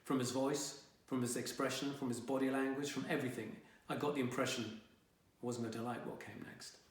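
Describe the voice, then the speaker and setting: A man's voice: medium pitch, fairly even intonation, brisk, far from the mic, lightly acted. A man voicing a sullen, indignant fifteen-year-old boy telling his own story in a wry, exasperated way. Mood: wry, uneasy. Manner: wry, dramatic, exasperated teenage grumbling. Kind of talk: first-person young adult audiobook reading. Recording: very echoey, reverberant room.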